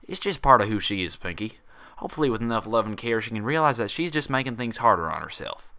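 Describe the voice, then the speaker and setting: A man's voice: on the low side, animated, fast talker, bright, forceful tone. A man voicing a kindly female pony doctor with a folksy, soothing, patient manner in a story reading. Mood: reassuring.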